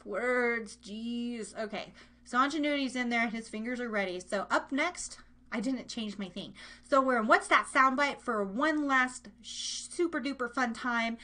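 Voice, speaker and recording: A woman's voice: fairly high pitch, bright, forceful tone. A woman hosting a live internet radio show, chatting casually in a playful, rambling way and repeating her phrases as she runs games.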